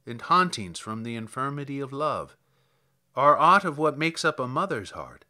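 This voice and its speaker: A man voicing an old, reflective country priest in a dramatic verse reading, telling tales in a measured, plainspoken way. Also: mid-range voice, expressive, bright, forceful tone. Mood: fond, mournful.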